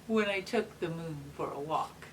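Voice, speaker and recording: A woman talking and reading aloud to young children, explaining things simply and patiently with gentle questions. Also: low-pitched, normal speed. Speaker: read-aloud host for children. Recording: distant mic with faint background noise.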